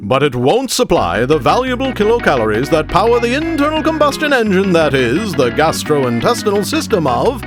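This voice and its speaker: A man playing a hearty, suave 1930s radio announcer, narrating and pitching a sponsor in scripted, salesmanlike style. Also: very animated, normal speed, hardly any pauses. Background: music.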